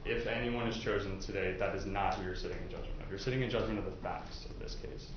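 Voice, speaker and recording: Low-voiced man in a very echoey courtroom. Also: fairly even intonation, fast. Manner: informal, explanatory, hedging with casual asides. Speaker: prosecutor questioning potential jurors. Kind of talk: courtroom jury questioning, conversational. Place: indoor courtroom. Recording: very echoey courtroom, muffled, faint noise.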